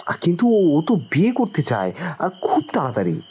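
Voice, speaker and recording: Guy acting lovesick young man, husky, voice swings all over, hesitant and worried. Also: a little high, huge pitch swings, normal speed, warm tone, heavily acted. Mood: torn, anxious. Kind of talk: audio drama dialogue.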